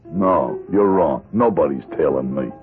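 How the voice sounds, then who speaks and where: A dull, band-limited radio drama recording with music carries a very low male voice. It is a weary middle-aged American ex-convict. He is gruff and guarded, answering in terse, sardonic lines. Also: lively intonation, unhurried. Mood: irritated.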